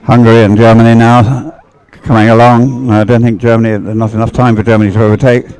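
A man's voice: mid-range voice, flat delivery, fast, a little mellow, smooth, low-quality recording. A man giving live sports race commentary in a conversational, hesitant way, often musing aloud.

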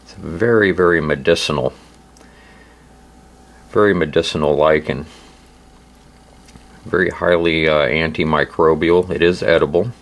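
An extremely low-pitched male voice, hoarse as if recovering from laryngitis. He explains things informally, like a hobbyist host, at a slow pace. His delivery is halting, with many pauses between short phrases. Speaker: host of a survival channel. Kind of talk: informal instructional narration.

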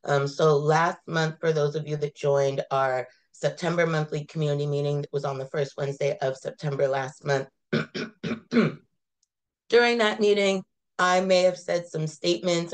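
A woman with a low, congested voice talks over a video call from a room at home. She speaks in a casual, conversational way and leans on a friendly "y'all." Her tone is apologetic and plain. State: congested from a cold. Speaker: advocacy manager.

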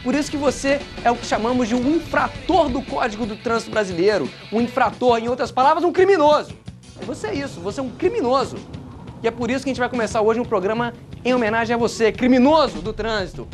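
A man's voice: very high voice, lively intonation, quick pace. A man hosting a comedy show in a mock-solemn, lecturing manner. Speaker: host. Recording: music underneath.

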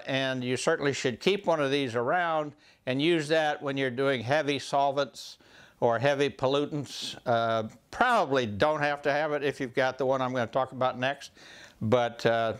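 An older man hosting a woodworking channel, giving a casual, folksy overview of safety masks in his home shop, with frequent "uh" pauses. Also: mid-range voice, a bit bright, clear, resonant tone.

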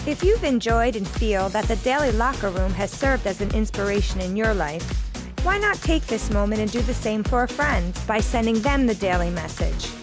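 Woman, promo announcer, even delivery, clear music bed behind. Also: mid-range voice, normal speed. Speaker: announcer for the show's closing message. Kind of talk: scripted promo read.

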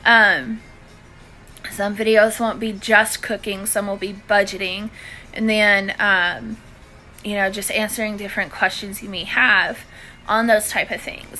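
Bright-voiced chatty Southern US woman. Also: medium pitch, poor audio. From Louisiana, southern US. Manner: chatty, informal, addressing viewers by endearments. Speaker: cooking and budgeting live-stream host. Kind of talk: casual chat to live viewers. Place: indoors in a living room. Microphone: phone at arm's length.

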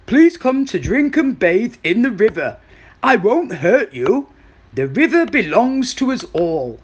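A woman voicing a big, bossy male crocodile in a children's story, loud and emphatic. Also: on the high side, very animated, measured pace, dull audio, slight background noise, pitched above the performer's own voice. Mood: welcoming.

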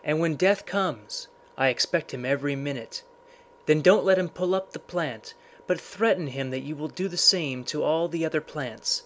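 Man voicing a high-pitched knowing old woman. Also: lightly acted. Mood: instructing. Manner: measured, instructing, storybook delivery.

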